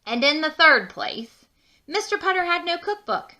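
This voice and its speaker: A woman reading a children's storybook aloud with expressive, drawn-out emphasis and chatty asides. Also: sits high. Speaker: children's book read-aloud narrator.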